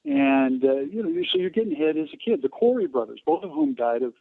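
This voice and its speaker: An American man around seventy speaks in an interview over a narrow, phone-like line. His pitch swings in a lively way and his voice has a gravelly creak. He tells stories haltingly, pausing and wandering as he goes. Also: no room sound. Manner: halting, digressive, pausing mid-sentence. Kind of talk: conversational interview storytelling.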